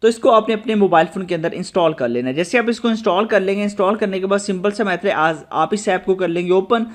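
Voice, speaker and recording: Male tutorial host, high register, instructional delivery straight to the viewer. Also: fast talker. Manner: instructional, repeatedly addressing viewers. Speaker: host of a tech tutorial channel. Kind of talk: screen-recorded app tutorial narration.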